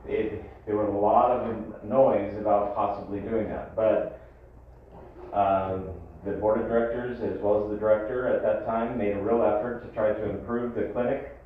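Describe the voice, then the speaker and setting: A thin, phone-like recording with strong room echo and faint noise. A man on the deeper side explains finances to a board, informally and with measured pauses. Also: steady tone. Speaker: health district staff presenting finances. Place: meeting room, distant microphone. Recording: tinny echoey room from far off, faint noise.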